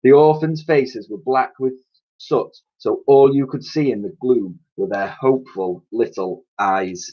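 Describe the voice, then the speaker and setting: A man in his thirties reading a children's storybook aloud in an expressive, explanatory way, like a teacher to pupils. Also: mid-range voice, unhurried. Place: small attic room with sloped ceiling, indoors. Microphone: webcam or laptop mic at arm's length. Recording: dull, lo-fi webcam sound.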